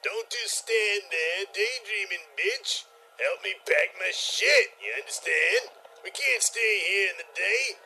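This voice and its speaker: A man's voice: high-pitched, huge pitch swings, takes it slowly, pushed and bright, soft background music, full character voice. A man playing a crude, bullying vampire in a comic audio play, with a brash, sneering, streetwise delivery. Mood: irritated, menacing. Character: crude, bullying male vampire, foul-mouthed and scheming.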